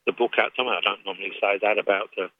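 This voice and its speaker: A man's voice: fairly low pitch, fairly even intonation. English man on a tinny phone line. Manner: earnest, measured, with frequent restarts. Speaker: activist guest. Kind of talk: radio phone interview.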